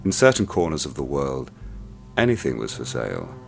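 Deep, gravelly male actor as a killer narrator, brooding, faint music underneath. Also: huge pitch swings, heavily acted. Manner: slow, brooding confession. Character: cold, self-satisfied male killer looking back. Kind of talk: dark first-person narration in an audio drama.

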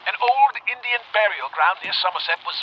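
Vintage radio field reporter, high-pitched, shaken and dramatic, muffled old-broadcast sound. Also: male voice, lively intonation, fairly quick, a little hiss or hum. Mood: grave, unsettled. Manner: grave, emphatic, dramatic reporting. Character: shaken 1920s radio newsman reporting live from a flood. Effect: old radio transmission filter. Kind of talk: 1920s radio news report acting.

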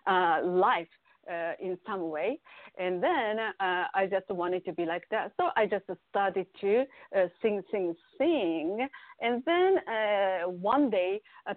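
Japanese woman in her fifties, slow and halting. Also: medium pitch, dry recording. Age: 50s. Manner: halting English with many fillers. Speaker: guest singer. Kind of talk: interview conversation. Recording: tinny phone-like audio, dry.

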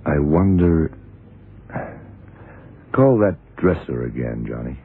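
An actor playing a shrewd, methodical police detective in an old radio drama, questioning in a deliberate, probing way. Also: male voice, rumbling low, animated, breathy edge, heavily acted. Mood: pondering. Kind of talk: 1960s-70s radio drama acting. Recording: tinny, muffled old radio recording.

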